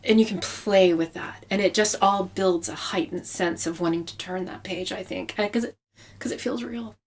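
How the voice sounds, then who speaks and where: A woman's voice: medium pitch, moderate pace. A woman speaking as a novelist in an interview, explaining her craft conversationally and repeating phrases for emphasis. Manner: explanatory, with emphatic repetition for effect.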